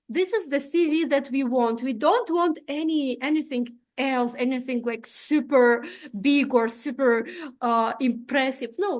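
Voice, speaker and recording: High-voiced young woman from Tajikistan. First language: Russian. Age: young adult. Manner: explains step by step, frequent "uh" and "right?" checks. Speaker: presenter of an exchange program application. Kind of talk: instructional walkthrough presentation.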